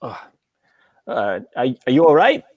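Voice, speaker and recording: A man playing an excitable, lovesick suitor in a play table read, stammering, with a husky voice. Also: mid-range voice, dry recording, lo-fi sound. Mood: dazed. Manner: stammering, halting. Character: flustered, lovesick, excitable man who faints.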